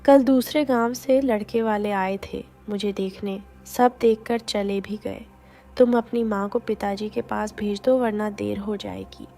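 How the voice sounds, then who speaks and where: A woman voicing a gentle, devoted young village girl who speaks earnestly and encouragingly, in a cartoon story. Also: medium pitch, steady tone, measured pace, faint music behind, lightly acted. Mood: concerned.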